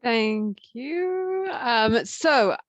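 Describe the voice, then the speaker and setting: Soft-spoken woman, dull dry audio. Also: a little high, expressive, drawn-out words, no room sound. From United Kingdom. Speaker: education centre team member.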